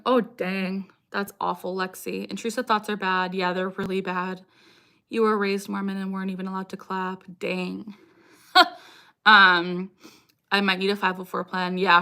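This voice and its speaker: Bright-voiced young woman chatting on livestream. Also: mid-range voice. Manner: sudden repeated vocal tics interjected into talk. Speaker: livestream host, content creator. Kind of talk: casual livestream Q&A chat, answering viewer comments.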